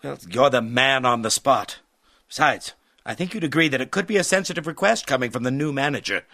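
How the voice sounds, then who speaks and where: A man's voice: medium pitch, lively intonation, brisk, vocal fry, pushed and bright. A man playing a polite, hesitant and officious acting hotel manager in a radio detective drama. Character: fussy, deferential male acting hotel manager.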